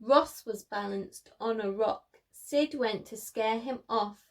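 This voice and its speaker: Woman, preschool storyteller, high pitch, very slow pace, expressive children's read-aloud. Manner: expressive, simple phrases addressed to small children.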